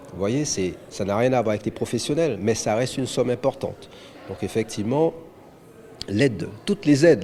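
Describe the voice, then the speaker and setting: A man's voice: on the low side, dark tone. A man from Guadeloupe, an amateur sailor, speaking in a press interview with many hesitations and restarts.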